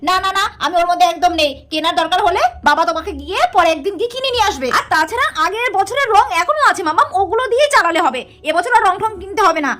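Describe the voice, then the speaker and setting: A woman's voice: extremely high pitch, lively intonation, fast talker, hardly any pauses, fairly bright tone, slight background noise. A woman voicing a strict, exasperated mother doll in a cartoon story, scolding and sarcastic with firm refusals.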